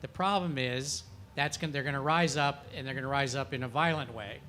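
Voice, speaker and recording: A man's voice: medium pitch, fairly quick, sharp tone, a little hiss or hum. A man speaking as a panelist on an outdoor festival stage, urgent and exhorting, often restarting his phrases. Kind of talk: panel discussion.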